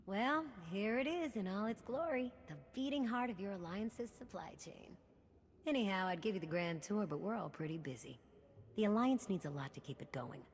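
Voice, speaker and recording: An actress playing a wry, cocky smuggler in a video game, talking in a folksy, sardonic way. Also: female voice, mid-range voice, animated, normal speed, lightly acted. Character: brash, wry female smuggler running an underworld supply chain. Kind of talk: scripted video game dialogue. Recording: reverberant hall with background hum.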